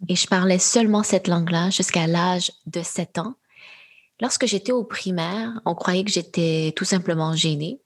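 A young woman, answering interview questions in a thoughtful, measured way with frequent pauses and fillers. Her is Khmer. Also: lowish voice, breathy edge. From Quebec, Canada. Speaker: guest, AI entrepreneur. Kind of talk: interview conversation.

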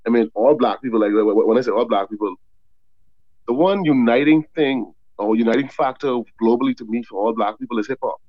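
A man from the Bahamas, a guest author, chatting casually in an interview, often repeating words as he tells animated stories. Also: mid-range voice, fairly quick, no echo, dull audio.

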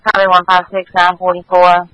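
A man with a high, crisp-edged voice handles police radio dispatch. His delivery is clipped and businesslike, acknowledging calls in set procedural phrases, and his signal is dry. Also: completely flat delivery, pushed and bright, dry recording, poor audio. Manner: brisk, formulaic, businesslike.

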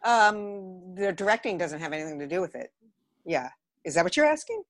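A woman's voice: fairly low pitch, animated, fairly quick, no echo, clean studio sound. A woman with an American accent chatting casually as an interview guest who works as a theater director. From Los Angeles area, United States. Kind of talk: casual conversation.

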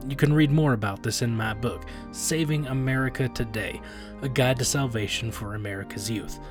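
A man acts as a moralizing radio preacher in a comedy radio play. He is solemn and pompous, speaking at a medium pitch over clear guitar music. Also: moderate pace, natural voice. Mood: pious warning. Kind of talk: 1930s radio sermon parody.

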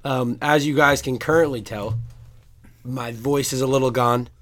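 Hoarse man joking on a sports podcast. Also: medium pitch, steady tone, normal speed. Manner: joking, rambling, drops to a quiet mutter. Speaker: podcast co-host. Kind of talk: casual sports chat.

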